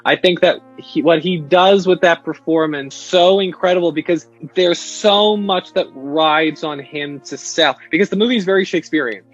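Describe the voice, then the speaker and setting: Male guest, slightly high voice, reflective and long-winded in casual conversation. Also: moderate pace, hardly any pauses, muffled recording, faint music behind. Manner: thoughtful, talks at length with restarts. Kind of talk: casual chat.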